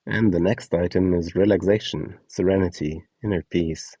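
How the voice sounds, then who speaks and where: Man, scripted guide narration, deep pitch, dry close sound. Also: fairly even intonation, moderate pace, mellow, dry recording, lo-fi sound. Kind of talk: scripted instructional narration with short acting prompts.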